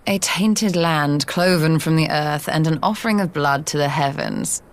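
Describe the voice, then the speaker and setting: Composed, businesslike fantasy game woman; slightly low pitch, formal archaic delivery. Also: big pitch swings. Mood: cool, probing. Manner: formal, measured, archaic phrasing. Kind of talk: video game cutscene acting.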